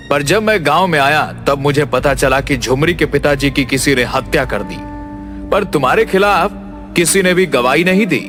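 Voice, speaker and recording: Man playing a stern police inspector, higher-pitched. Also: a bit bright, natural voice. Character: stern, heroic male undercover police inspector. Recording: music behind the voice.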